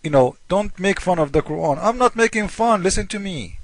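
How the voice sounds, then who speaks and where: A high-pitched man with non-native English delivers informal religious polemic in a dry, close sound. He is mocking and theatrical, repeating his points and playing both sides of an argument. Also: lively intonation, a little fast, dry recording. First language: not English. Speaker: host of a religious polemic video. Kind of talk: informal polemical commentary on scripture.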